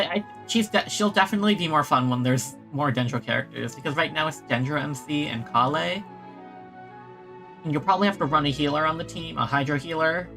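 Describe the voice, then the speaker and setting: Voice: mid-range voice, pushed and bright. Casual game streamer over faint music. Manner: hesitant, repeats words, says like often. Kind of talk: casual playthrough commentary.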